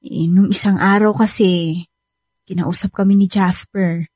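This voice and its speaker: An actress playing a frank, pushy young best friend with a Filipino accent in a radio drama. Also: female voice, mid-range voice, fairly even intonation, a little slow, warm tone, breathy edge, dry recording. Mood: breezy, teasing.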